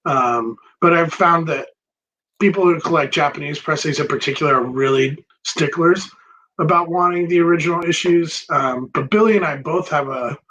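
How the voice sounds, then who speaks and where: A man hosting a casual chat about records, relaxed and rambling with many pauses and ums. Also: a little high, dull audio. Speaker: record store host.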